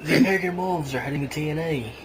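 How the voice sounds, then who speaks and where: A man hosting casually, reading news and explaining it in a halting way. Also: fairly high pitch. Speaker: podcast host. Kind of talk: casual wrestling news commentary. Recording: noisy home podcast recording.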